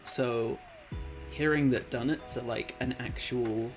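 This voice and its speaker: A man in his late twenties chats casually on a live stream, rambling along with plenty of fillers. His voice carries a gravelly fry, and he takes his time. Faint music plays behind him. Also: mid-range voice, steady tone, slow. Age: late 20s. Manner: rambling, filler-heavy, easily delighted. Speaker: art streamer.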